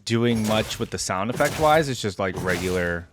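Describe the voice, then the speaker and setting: A man's voice: lowish voice, expressive, moderate pace, background noise. A man giving casual reaction commentary as a video host, musing and thinking aloud. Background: game music and sound effects.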